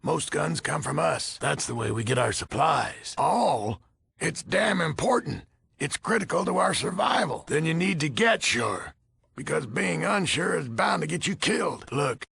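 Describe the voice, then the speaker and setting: An actor playing a gruff, weary older leader of a military order in a video game, with an American accent, a raspy voice and blunt, folksy grumbling. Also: male voice, a little high, expressive, a little slow, pushed and bright, no room sound, exaggerated voice. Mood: earnest, firm. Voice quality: gravelly, raspy. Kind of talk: video game character dialogue.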